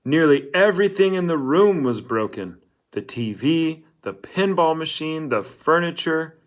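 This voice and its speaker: A man's voice: fairly high pitch, expressive, a little slow. A man reading a novel aloud as scripted storybook narration. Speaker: audiobook-style reader.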